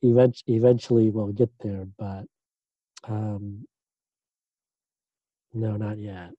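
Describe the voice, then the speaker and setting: A man, a doctoral student, answering interview questions in a relaxed way, pausing often with fillers and restarts. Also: fairly low pitch, no room sound, highs cut off. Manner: hesitant, many fillers and restarts.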